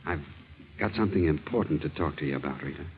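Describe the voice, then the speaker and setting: An actor playing an earnest, hesitant American bachelor, a shyly hopeful suitor in a 1940s radio drama. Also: male voice, very deep voice, fast. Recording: muffled, noisy old radio recording.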